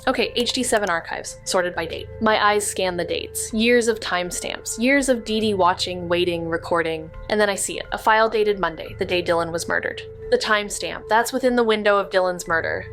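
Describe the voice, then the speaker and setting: A woman playing an analytical, guarded young detective in a noir audio drama, speaking in deliberate, clipped phrases. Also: medium pitch, a bit bright, close to an everyday voice. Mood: grim, resolved. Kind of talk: modern noir audio drama, dialogue and narration. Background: music underneath.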